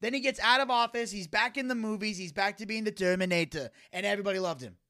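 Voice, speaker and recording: Co-host, male, high bright voice, lively storytelling. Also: quick pace. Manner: animated storyteller, laughs often. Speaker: podcast co-host. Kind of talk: casual chat.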